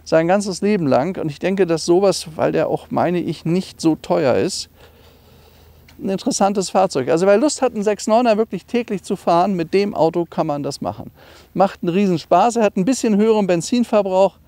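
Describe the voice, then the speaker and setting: A middle-aged man presents a classic car in a chatty, explanatory way. His voice is mellow with a heavy creak, and the sound is quite dry. He comes across as an enthusiastic dealer showing viewers around. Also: high-pitched, brisk, no echo. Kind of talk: informal car walkaround presentation.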